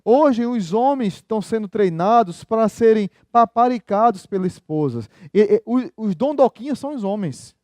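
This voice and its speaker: A man's voice: sits high, expressive, normal speed, hardly any pauses, darkish tone, no room sound, clean studio sound. A male pastor preaching a sermon in a conversational style, often turning to the congregation with rhetorical questions.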